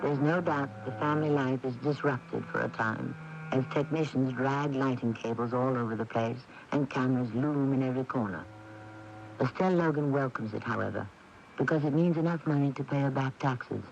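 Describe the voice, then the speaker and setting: A man's voice: medium pitch, normal speed, vocal fry. A man narrating a radio drama in a scripted, storytelling style. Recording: dull, noisy old radio broadcast recording.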